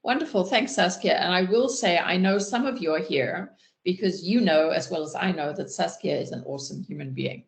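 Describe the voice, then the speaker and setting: A woman with a low, gravelly voice hosts an online panel on a video call. She speaks in an unhurried, welcoming way. Her voice rings in an echoey room. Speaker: community host and moderator.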